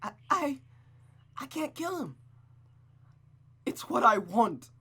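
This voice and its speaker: A woman's voice: fairly high pitch, big pitch swings, slow pace, bright, forceful tone, slightly rough, pitched above the performer's own voice, exaggerated voice. A woman voicing a frightened young daughter in a visual novel, stammering and pleading. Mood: scared pleading.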